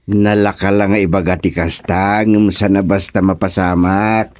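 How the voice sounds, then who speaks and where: A man's voice: sits low, little pitch movement, moderate pace, darkish tone, no echo, close to an everyday voice. A man playing a comic, grumbling village man in an Ilocano radio serial, muttering and full of exclamations.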